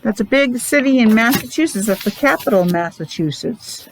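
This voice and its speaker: A woman's voice: on the high side, expressive, fairly quick, a little hiss or hum, poor audio. A woman teacher reading a picture book aloud to young children, explaining it simply in short, repetitive asides. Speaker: preschool or special-needs teacher.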